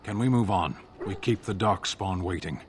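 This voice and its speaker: A deep, low voice gives life to a stern warrior in a fantasy video game. He is formal and clipped, with little patience and a deadpan delivery. A faint noise sits behind him. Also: normal speed. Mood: stoic, curt. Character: stoic, impatient male Qunari warrior, terse and stern. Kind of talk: video game character dialogue.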